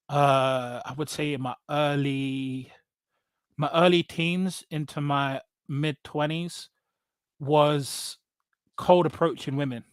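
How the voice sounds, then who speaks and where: English man, higher-pitched voice, blunt delivery. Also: clean tone, dry recording. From England. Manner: blunt, emphatic, often repeats phrases and checks with "right?". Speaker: podcast guest. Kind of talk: casual personal storytelling in conversation.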